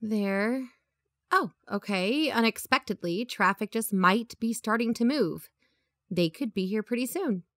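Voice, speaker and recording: A woman's voice: fairly high pitch, lively intonation, measured pace, dry recording, clean studio sound. A woman acting as a practical, blunt podcast co-host in a conversational audio drama.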